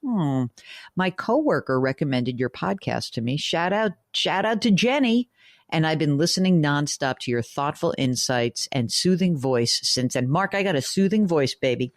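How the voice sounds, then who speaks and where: Frank, chatty radio money host. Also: female voice, deep voice, lively intonation, normal speed, dry recording, clean studio sound. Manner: chatty, frank, thinks out loud with numbers; reads listener emails aloud, joking asides. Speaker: radio show host answering money questions. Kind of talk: conversational radio call-in advice.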